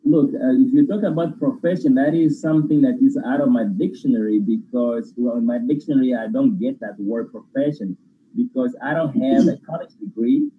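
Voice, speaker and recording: A man with an Angolan Portuguese accent, whose first language is Portuguese, talks as a grateful, effusive guest. His voice comes over a thin, phone-like line with a faint hiss behind it. He sits at a middle pitch for a man. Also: fairly quick. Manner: effusive, grateful, laughs while talking. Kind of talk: casual interview chat.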